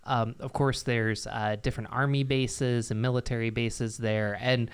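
A man's voice: mid-range voice, flat delivery, moderate pace, clean tone. A man interviewing a guest, framing a long question in a hesitant way with many fillers.

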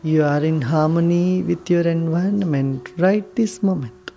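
A woman leading a guided meditation with soothing, unhurried instructions and long pauses. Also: on the low side, gravelly, mellow.

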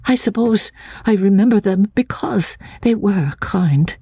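Fragile young woman, high-pitched, breathy, stammering, anxious, childlike, classic audiobook drama. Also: expressive, dark tone, dry recording. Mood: wistful, troubled. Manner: halting, stammering, repeating words anxiously. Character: timid, childlike, troubled young woman escaped from asylum.

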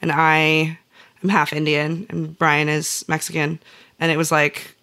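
A woman's voice: low voice, completely flat delivery, unhurried, sharp tone. A woman with an American accent chatting casually as a podcast host. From central Illinois, United States. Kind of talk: casual chat.

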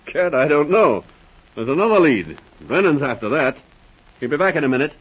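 An actor playing a plain-spoken, bemused town marshal with a folksy manner, in 1940s radio drama style. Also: male voice, a little high, sing-song, moderate pace, a bit bright, slightly rough, full character voice. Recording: muffled old radio recording.

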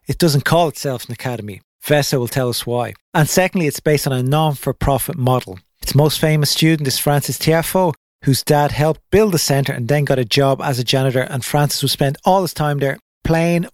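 A man's voice: medium pitch, no room sound, studio quality. A man hosting a tennis podcast, delivering an upbeat, chatty intro and sponsor reads before interviewing his guest. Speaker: podcast host.

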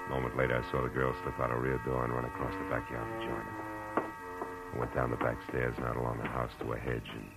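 Very deep, hard-boiled private eye. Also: male voice, little pitch movement, fast talker, vocal fry, over loud music. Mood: moody narrating. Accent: American. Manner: clipped, wry, slangy delivery. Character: hard-boiled male private detective, tough and wry. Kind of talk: 1940s radio drama acting and narration.

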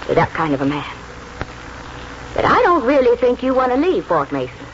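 An actress playing a devoted, reassuring middle-aged wife, gentle and encouraging, in a 1940s radio drama. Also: female voice, a little high, huge pitch swings, moderate pace. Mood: tender, reassuring. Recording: muffled, noisy 1940s radio broadcast.

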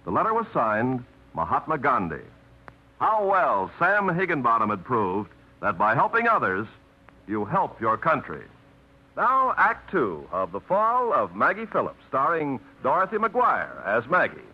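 A man's voice: mid-range voice, huge pitch swings, a little slow, slightly rough. Earnest 1950s radio announcer man. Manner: earnest, declamatory. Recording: dull old radio broadcast.

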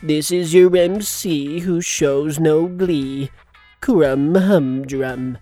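An actor voicing a dull, pedantic male radio DJ in a children's comedy audio drama, deadpan and painstakingly literal. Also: on the high side, very slow, soft background music. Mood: flat, deadpan.

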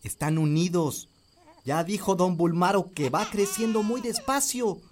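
A man's voice: high-pitched, a little slow, noisy background. An actor playing a village husband who talks to his wife and neighbors in a firm, strained way. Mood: urging.

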